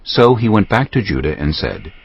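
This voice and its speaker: A man narrating a dramatized scripture text in a measured, solemn storytelling style. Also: deep voice, big pitch swings, vocal fry, slightly rough, no echo. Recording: dull lo-fi audio, faint music behind.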